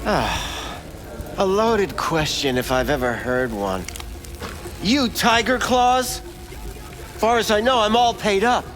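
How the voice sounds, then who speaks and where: A man's voice: high voice, expressive, a bit bright, faint music behind, full character voice. A man playing a sleazy, unctuous back-alley doctor in a video game, oily and evasive in his manner. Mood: wary, smarmy. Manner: oily, drawn-out, stammering when pressed. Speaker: back-alley ripperdoc character. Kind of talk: video game character acting.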